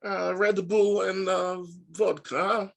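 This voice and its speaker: A man voicing a brash young foreign partygoer who speaks halting broken English in a thick put-on accent. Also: high voice, level tone, gravelly, pitched above the performer's own voice, heavily acted. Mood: boastful.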